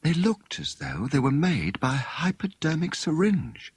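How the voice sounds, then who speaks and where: Man voicing a musing, lively-pitched young woman sleuth. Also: normal speed, slightly rough, dry recording, heavily acted. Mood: speculative. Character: Tuppence, thoughtful, inquisitive young woman amateur detective.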